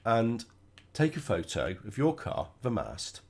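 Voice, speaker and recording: A man with a cold, sounding croaky and stuffed up, chatting casually through his own racing gameplay. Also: mid-range voice, unhurried. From United Kingdom. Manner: relaxed, chatty, thinking aloud while driving. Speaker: gaming channel host.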